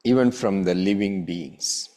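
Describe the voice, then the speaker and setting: Very slow, low-voiced male meditation guide. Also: darkish tone. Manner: measured, with long pauses between short phrases. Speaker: meditation teacher.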